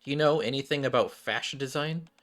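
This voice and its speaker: A man's voice: medium pitch, a little fast, fairly bright tone, close to an everyday voice. A man voicing a confident, encouraging woman mentor from a game, reassuring and direct.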